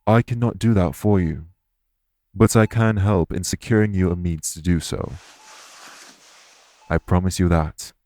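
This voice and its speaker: A man playing a courteous former king turned fae official in an audio roleplay, speaking formally and with measured reassurance. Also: deep voice, darkish tone, dry recording. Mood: earnest, apologetic. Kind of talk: audio roleplay spoken to the listener.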